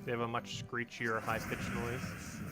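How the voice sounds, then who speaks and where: A young man with a low voice, chatting casually on a live stream while he games, wandering off on tangents and laughing often. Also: monotone, a little slow, over loud music. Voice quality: low-pitched voice.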